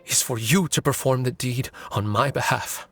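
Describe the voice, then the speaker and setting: A man voicing a grave, deliberate revenge seeker in a video game. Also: medium pitch, fairly bright tone, breathy edge. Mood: grim, measured. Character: grave, deliberate male murder suspect seeking revenge.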